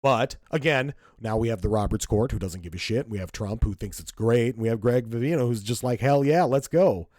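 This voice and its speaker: A man's voice: medium pitch, fairly quick, few pauses, a little mellow, dry recording, studio quality. A man co-hosting a podcast, giving emphatic, indignant political commentary with frequent restarts. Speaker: podcast co-host.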